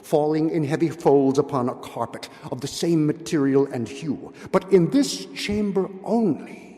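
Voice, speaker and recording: A man reads a gothic tale aloud as a dramatic narrator, with measured, deliberate phrasing and weighty pauses. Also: medium pitch, mellow. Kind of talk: theatrical dramatic literary reading of gothic fiction. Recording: long reverberant hall echo.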